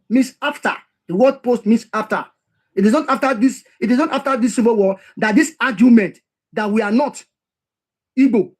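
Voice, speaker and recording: A man with a notably high voice works through a history text. He reads a passage, stops to explain it in plain terms, and says key lines twice to drive them home. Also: darkish tone. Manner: reads quoted passages then explains them, repeats key phrases for emphasis. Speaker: history commentator.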